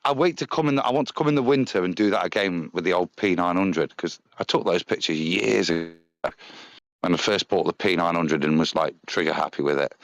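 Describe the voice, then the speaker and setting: A lower-pitched man with a Nottingham English accent talks in a chatty, rambling way, his voice swinging widely up and down as he tells stories. The recording is dry and close, with no room sound. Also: a bit bright, dry recording. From England. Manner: chatty storyteller with broad pitch swings and impressions. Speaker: panel guest. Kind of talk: casual chat on a live stream.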